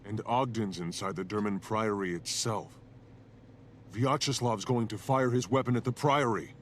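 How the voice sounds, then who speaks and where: A man's voice: fairly low pitch, normal speed, a little hiss or hum, natural voice. An actor, a man, playing an urgent, determined fantasy hero in a video game, earnest and direct.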